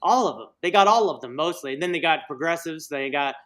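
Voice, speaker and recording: A man's voice: on the high side, expressive, quick pace, fairly bright tone. A man hosting a casual livestream, rambling and joking, often slipping into silly voices. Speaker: livestream host.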